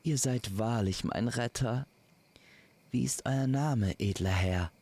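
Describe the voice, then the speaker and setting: Man voicing lovestruck young noblewoman, unhurried, courtly. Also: medium pitch, darkish tone, pitched above the performer's own voice. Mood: admiring. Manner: courtly archaic speech. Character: young noblewoman Amelia, lovestruck, polite and eager. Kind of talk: fairy-tale audio story dialogue.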